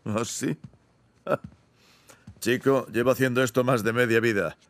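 An actor playing a seasoned older treasure hunter in a dubbed game, a wry, paternal, practical mentor with a Castilian Spanish accent. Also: male voice, mid-range voice, fairly even intonation, unhurried, dry recording, close to an everyday voice. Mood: practical, firm. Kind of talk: dubbed video game cinematic acting.

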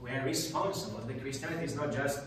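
Halting middle-aged priest in an echoey room. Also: male voice, medium pitch, fairly even intonation, distant mic, background noise. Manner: halting, many restarts and tag questions. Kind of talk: parish talk on prayer. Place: parish classroom. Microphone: far camera.

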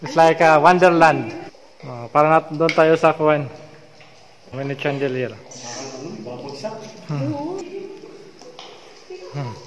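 A man's voice: a little high, takes it slowly, long pauses, a crowd in the background. Filipino man guiding a cave tour. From Philippines. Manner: coaxing, mixing English and Tagalog. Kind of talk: guided tour narration. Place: inside a large cave.

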